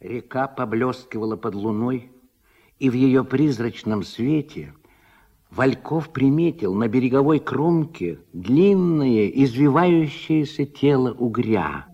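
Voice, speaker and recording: A man giving measured, expressive literary narration in the style of 1970s Soviet radio drama. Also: mid-range voice. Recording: dull, faintly noisy old radio recording.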